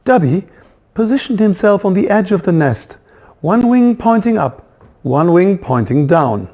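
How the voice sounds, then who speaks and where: A man reading a children's book as its author, in expressive storybook narration. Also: high voice, unhurried.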